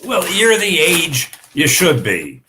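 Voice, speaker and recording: A man acts out a grumpy, indifferent husband in a short play. He speaks very slowly with dry, curt sarcasm and big pitch swings, over a slightly noisy recording with clicks. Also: wildly swooping pitch, full character voice. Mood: impatient. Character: grumpy, indifferent older husband reading his paper. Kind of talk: staged reading of a short play.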